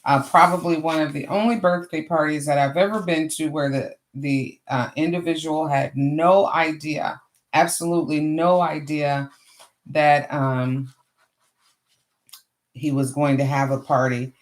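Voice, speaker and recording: A middle-aged woman with a hoarse voice, hosting a casual crafting livestream and chatting to viewers as she works. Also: sits low, fairly even intonation, a little slow. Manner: chatty, greets viewers warmly and often. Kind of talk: casual live craft chat.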